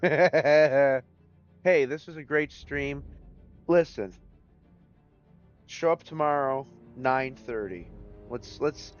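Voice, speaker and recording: Male stream host, hoarse, voice cracking, loose and rambling; dull audio, faint music. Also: mid-range voice, drawn-out words, long pauses. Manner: loose, rambling, signs off with jokey farewells. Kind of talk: casual gaming stream chat.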